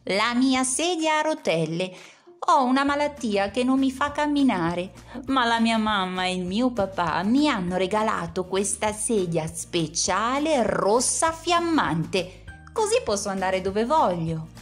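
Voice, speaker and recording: A woman's voice: mid-range voice, lively intonation, a little slow, faint music behind, natural voice. A woman voicing a cheerful young boy in a wheelchair, earnestly explaining. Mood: proud.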